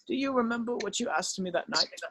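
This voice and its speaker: A man voicing a weary, gently remorseful old wizard headmaster in a script read-through, speaking in a measured, solemn way. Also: very high-pitched, expressive, a little hiss or hum, pitched above the performer's own voice, heavily acted. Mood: heavy, confessional.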